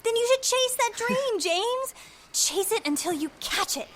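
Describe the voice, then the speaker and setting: A woman's voice: very high voice, expressive, measured pace, fairly bright tone, a little hiss or hum, exaggerated voice. A woman voicing a bubbly, cheerful young woman in an audio drama, gushing and upbeat.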